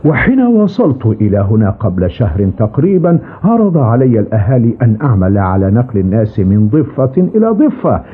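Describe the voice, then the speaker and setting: A man's voice: medium pitch, brisk, few pauses, slightly rough. An actor playing an old, testy and rueful ferryman telling his story in a dubbed cartoon. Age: older. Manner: expressive, grumbling, storytelling. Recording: muffled tinny cartoon soundtrack with music.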